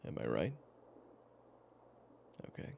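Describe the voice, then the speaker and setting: A man playing a weary but gentle storyteller with a regretted past, talking casually and haltingly to the listener in an intimate audio roleplay. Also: a little hiss or hum, natural voice. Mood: relenting, warm. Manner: casual, halting, with sighs and pauses.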